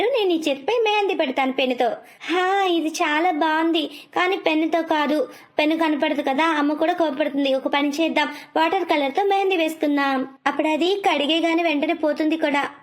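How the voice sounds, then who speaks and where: A woman voicing playful, chatty young twin girls in an animated children's story, with a childlike, pleading and teasing manner. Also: high voice, fairly quick, close to an everyday voice. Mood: excited. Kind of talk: animated moral story dubbing.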